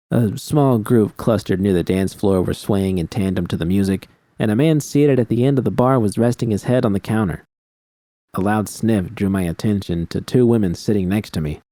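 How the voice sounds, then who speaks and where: A man's voice: fairly low pitch, mellow, no room sound. A man reading scripted first-person fiction as an audiobook narrator.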